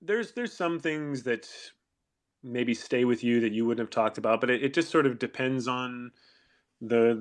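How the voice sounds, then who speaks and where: A man hosting an online audio chat, answering fans' questions in a thoughtful, rambling way with frequent restarts. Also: mid-range voice. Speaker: actor hosting a fan Q&A. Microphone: online audio room.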